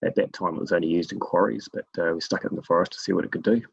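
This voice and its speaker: A man from New Zealand with a gravelly, husky voice, telling his story conversationally as an interview guest. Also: sits low, very fast, dull audio. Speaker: guest expert in forest monitoring.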